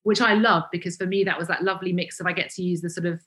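This is a woman in her forties, talking as a podcast guest. Her voice sits fairly low and she speaks fast, full of ums, restarts and self-deprecating asides. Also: fairly even intonation, a bit bright. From England (Cambridgeshire). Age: 40s. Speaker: guest, founder of a vegan brand. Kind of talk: reflective interview conversation.

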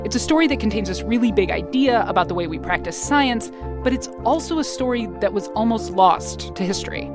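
A woman's voice: medium pitch, expressive, a little fast, over music. An American woman hosting a science podcast in a casual, playful, conversational storytelling style. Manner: informal, playful.

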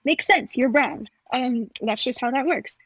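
A young woman from Nepal chats on a podcast in a fairly high voice. She tells stories with energy and acts out the back-and-forth of conversations. The sound is dry and close. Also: unhurried, dry recording. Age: young adult. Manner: animated storyteller, acts out quoted dialogue. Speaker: podcast co-host. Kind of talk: casual chat.

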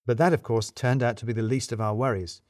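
A man's voice: mid-range voice, fairly even intonation, a little mellow, no room sound, clean studio sound. Wry man narrating a comic mystery. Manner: wry, theatrical emphasis with deliberate pauses. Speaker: audiobook reader narrating in first person as an office worker.

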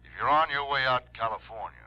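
Sharp, forceful resort owner. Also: male voice, mid-range voice, fast talker, smooth. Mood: urgent. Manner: urgent, persistent. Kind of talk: 1960s radio drama acting. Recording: dull old radio broadcast recording.

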